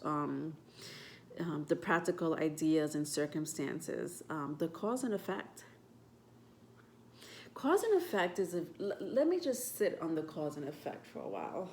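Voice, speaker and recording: Low-voiced woman, stuffy nose, rambling. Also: a little hiss or hum. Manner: rambling, frequent ums and self-corrections. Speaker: tarot and astrology vlogger. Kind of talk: casual talk to camera.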